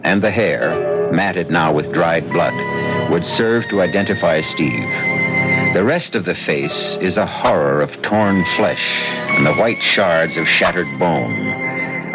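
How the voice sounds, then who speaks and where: A man acting as grave host and narrator of a radio mystery, telling horror in dramatic, ornate phrases. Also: low-pitched. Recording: muffled old radio broadcast, loud music.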